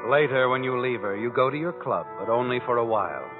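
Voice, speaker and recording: An actor plays a sardonic male storyteller from a 1940s radio crime show. His pitch swings widely as he needles the listener in second person. The sound is thin and old, with music behind him. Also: expressive, fast talker, lightly acted. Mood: sardonic, taunting. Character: sardonic all-knowing male narrator of crime tales. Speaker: narrator. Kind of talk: 1940s radio drama narration. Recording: tinny vintage radio recording with music behind.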